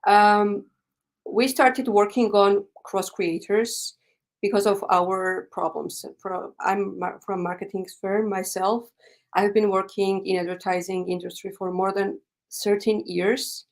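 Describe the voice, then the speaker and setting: Founder in her 30s, some fry, mid pitch. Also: fairly even intonation, measured pace. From Georgia. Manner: earnest, simple non-native phrasing. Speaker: startup CEO guest. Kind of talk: interview answers.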